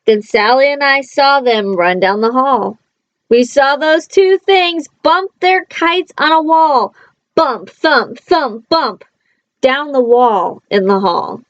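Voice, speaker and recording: A woman's voice: high voice, slow pace, no echo, muffled recording. A woman reading a picture book aloud to young children like a teacher, expressively, with simple chatty asides. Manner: simple, child-directed phrasing.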